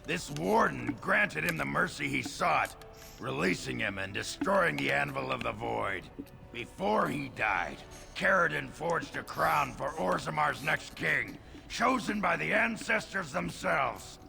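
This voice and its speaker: A man playing a gruff, crude, irreverent dwarf warrior in a video game, blunt and coarse in manner with a growling voice. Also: sing-song, a little slow, music in the background, heavily acted. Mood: forceful announcing. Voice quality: deep gravelly growl. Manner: blunt, coarse, rough-edged. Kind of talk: video game character acting. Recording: noisy game audio with ambience.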